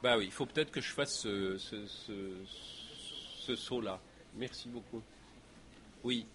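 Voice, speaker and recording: A man's voice: lowish voice, slow, a crowd in the background. A man, speaking French as his first language, answers audience questions after a lecture in a hall, thinking aloud with many fillers and restarts. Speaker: guest speaker.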